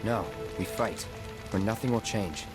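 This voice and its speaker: A man playing a terse, reserved young mercenary in a video game, speaking in a clipped, deadpan way. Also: on the low side, measured pace, music in the background, close to an everyday voice. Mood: resolute. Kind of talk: understated video game dialogue.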